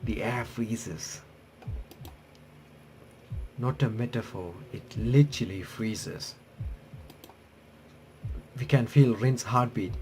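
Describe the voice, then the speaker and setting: Male playthrough YouTuber, unhurried and halting, narrating a visual novel over some background noise. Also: mid-range voice, darkish tone. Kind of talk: reading game text aloud with casual commentary.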